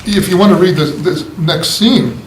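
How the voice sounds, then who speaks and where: A man's voice: sits high, moderate pace. A man giving a blunt, opinionated critique in a casual writing group discussion. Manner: blunt and opinionated, laughing at his own remarks. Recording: roomy room with faint noise.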